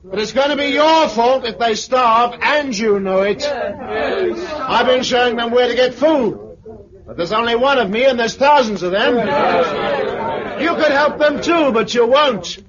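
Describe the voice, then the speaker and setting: An actor playing an angry, defiant agitator haranguing someone in a 1950s radio drama, forceful and accusing, with a British accent. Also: male voice, very high voice, animated, a little slow. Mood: indignant. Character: angry, defiant male agitator championing the helpless blind. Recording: muffled vintage radio with loud crowd.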